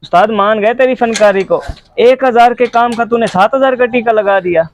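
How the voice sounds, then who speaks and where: A man's voice: very high voice, moderate pace, slight background noise. A man playing a conscientious young garage helper who frankly chides his boss, in an acted moral-story skit. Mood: reproachful.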